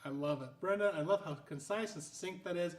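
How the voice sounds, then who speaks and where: An animated, joking interview host trades questions with a guest, words tumbling over restarts. The voice sits mid-range and rings in a roomy, echoey space. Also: mid-range voice, quick pace. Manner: animated, jokey, stumbling restarts. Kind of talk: casual interview chat.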